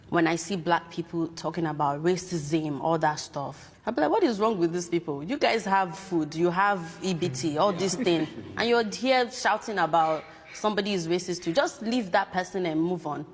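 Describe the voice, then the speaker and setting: A woman's voice: on the low side, normal speed, hardly any pauses, breathy edge, long reverb tail. A woman who grew up in Africa, talking earnestly and reflectively in a casual reaction video, often acting out her own past thoughts. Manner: earnest, reflective, speaks in quoted asides.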